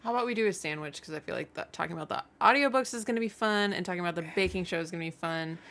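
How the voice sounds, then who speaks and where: A woman's voice: fairly low pitch, racing, creaky, a bit bright. A woman co-hosting a livestream with casual, playful banter and comments read from viewers. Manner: playful, laughs easily. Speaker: live stream co-host.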